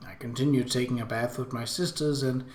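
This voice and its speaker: A man's voice: medium pitch, fairly quick. A man hosting a let's play, expressively reading a visual novel aloud with his own asides. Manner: expressive, animated. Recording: roomy echo.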